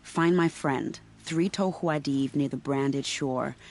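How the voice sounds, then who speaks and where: A woman's voice: extremely low pitch, slow, darkish tone, exaggerated voice. An actress playing a sly, self-assured female spy in a video game, with an arch, teasing manner. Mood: scheming. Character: sly, poised female spy, playful and patronizing. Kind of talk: video game dramatic acting. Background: faint music.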